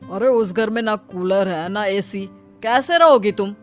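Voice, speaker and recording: A woman's voice: medium pitch, unhurried, faint music behind, lightly acted. A woman voicing a grumbling, disdainful husband in a family story. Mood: disapproving. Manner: brusque, disdainful. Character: grumbling, disapproving husband of a well-off household.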